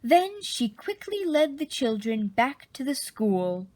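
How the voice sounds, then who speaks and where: A woman reading a children's picture book aloud in an expressive, dramatic storytelling style. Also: sits high, slow pace. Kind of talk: animated storybook read-aloud.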